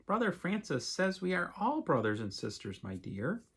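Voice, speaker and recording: A patient mother bird in a storybook, voiced by a man reading aloud. She gently teaches her child, with lively intonation and a slightly husky tone. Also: sits high, normal speed, heavily acted. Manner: patient, instructive.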